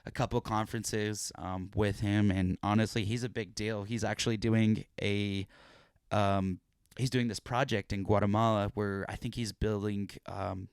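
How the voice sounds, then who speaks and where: Earnest low-voiced young man, dry mic. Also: level tone, normal speed, clear, resonant tone, no echo. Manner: earnest, rambling with frequent restarts and fillers. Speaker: podcast host. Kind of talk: casual podcast chat. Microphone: podcast mic.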